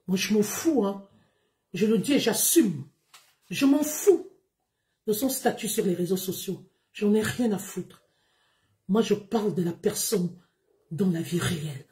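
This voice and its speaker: A woman's voice: mid-range voice, expressive, unhurried, pauses often, dark tone. A woman, speaking to her followers in an emphatic, declamatory livestream monologue. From Gabon. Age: 30s. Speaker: live streamer.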